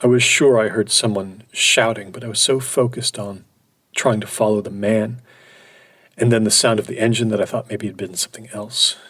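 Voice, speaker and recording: A man's voice: on the low side, steady tone, moderate pace, a bit bright, breathy edge. An actor playing a preoccupied man who recounts a night on foot, in a horror audio drama monologue. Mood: distracted, uncertain.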